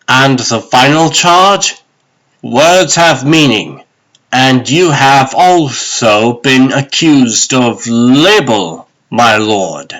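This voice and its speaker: A man playing a stern sheriff judge in a comic dub, formal, commanding and deliberate. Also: medium pitch, animated, a bit bright, rough recording. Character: stern, authoritative sheriff judge reading out charges. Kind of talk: comic dub character acting.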